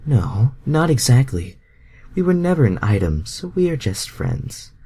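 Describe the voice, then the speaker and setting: A man's voice: mid-range voice, sing-song, unhurried, warm tone, slightly rough, exaggerated voice. A man voicing a friendly, flirty woman bounty hunter from fan fiction, in a casual, coy manner.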